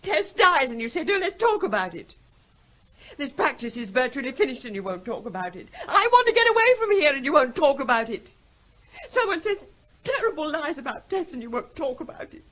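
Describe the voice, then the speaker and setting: Radio drama wife: earnest, insistent, unhappy, higher register, lively emotional inflection. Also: female voice, fairly quick. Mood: hurt, pleading. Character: unhappy, frustrated wife pleading with her evasive husband.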